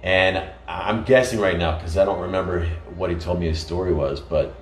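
An American man in casual conversation, telling stories in an animated way with frequent restarts. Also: low-pitched, fairly quick, faint music behind. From United States. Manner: storytelling, animated, many restarts. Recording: echoey room.

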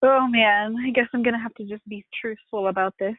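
A middle-aged woman from the Philippines, a family therapist, speaking candidly and reflectively with halting pauses and self-deprecating laughs. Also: fairly high pitch, steady tone, no room sound. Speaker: podcast guest, family therapist. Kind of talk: candid personal conversation. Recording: tinny phone-like line.

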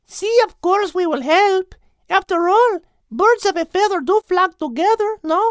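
Tiny, very squeaky eager bird. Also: male voice, a little slow, no room sound, studio quality. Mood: eager, excited. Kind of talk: audiobook character voice.

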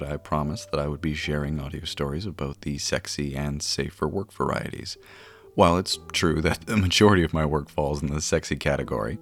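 A man hosting a podcast, giving measured introductions and interview questions. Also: very deep voice. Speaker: podcast host.

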